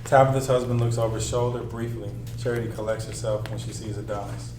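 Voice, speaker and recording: A man's voice: on the low side, flat delivery, fast talker, noisy background. A man reading screenplay stage directions as narrator at a table read, in a plain scripted style. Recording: echoey room, distant mic, music behind.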